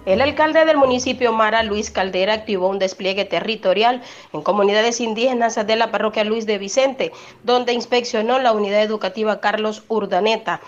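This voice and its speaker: A woman news reporter reading a scripted report in a steady, formal way. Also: medium pitch, a little fast, lo-fi sound, soft background music.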